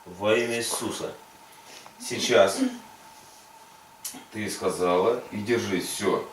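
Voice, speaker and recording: A man's voice: fairly low pitch, fairly even intonation, slow pace. A man working as a faith healing preacher, giving insistent prayer commands and coaching in short, repeated phrases. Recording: echoey room, distant mic, faint noise.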